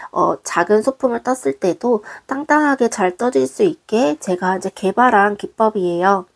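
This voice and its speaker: A woman, hoarse from a cold, patiently walking viewers through a craft tutorial step by step in a gentle, polite way. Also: medium pitch. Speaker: crochet designer teaching.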